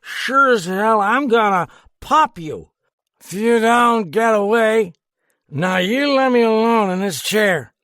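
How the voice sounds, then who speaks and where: Loud, slurring drunk young American. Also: male voice, sits high, animated, slow pace, dry recording, studio quality, pitched above the performer's own voice. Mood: threatening drunk. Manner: slurred, boisterous, rambling.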